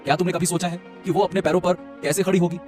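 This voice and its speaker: A man's voice: high voice, level tone, very fast, lightly acted. A man voicing an earnest young husband in a Hindi cartoon, reasoning and pleading with hesitant insistence. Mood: pleading, insistent. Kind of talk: Hindi moral-story cartoon voice acting. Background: music.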